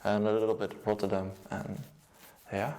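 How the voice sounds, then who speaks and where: A young man with a Dutch accent, a music producer and DJ, giving a casual, low-key account of his work. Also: monotone, normal speed, dark tone. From Netherlands.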